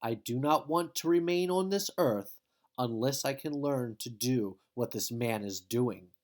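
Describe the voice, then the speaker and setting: A man's voice: mid-range voice, a little slow, a little mellow, natural voice. A man voicing a passionate, determined young Mexican artist and dancer in a storybook. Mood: exalted.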